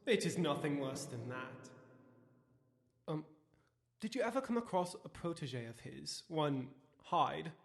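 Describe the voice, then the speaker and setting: An actor playing a concerned, formal Victorian lawyer in an audio drama, speaking in a measured way. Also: male voice, fairly high pitch, expressive, creaky. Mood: probing, polite. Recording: echoey, long reverb.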